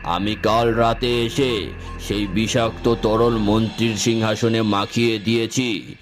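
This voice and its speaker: A man playing a wise, calm holy man who advises a king, in a solemn, moralizing cartoon style. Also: on the low side, slow. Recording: loud music under the voice. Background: music.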